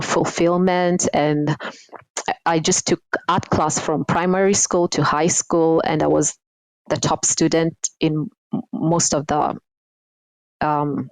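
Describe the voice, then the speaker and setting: A woman from Kenya, a guest designer, answering interview questions in a reflective, unhurried way with frequent ums. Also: sits low, dry recording, low-quality recording. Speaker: guest, surface pattern designer.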